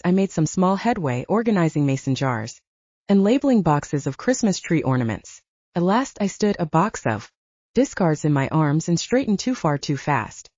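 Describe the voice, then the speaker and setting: A woman's voice: lowish voice, a little mellow, no room sound, lo-fi sound. A woman reading scripted first-person fiction as an audiobook narrator.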